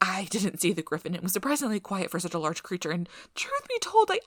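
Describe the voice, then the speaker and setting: Nervous, eager female researcher, played by a woman for ASMR audio drama: bright, very animated, apologetic rambler. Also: medium pitch, quick pace, no room sound, clean studio sound. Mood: sheepish, apologetic. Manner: flustered, apologetic, rambling, nerdy enthusiasm.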